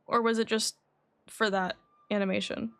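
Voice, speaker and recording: A woman game streamer chatting casually and reacting live in an animated, expressive way. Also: medium pitch, normal speed. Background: game music.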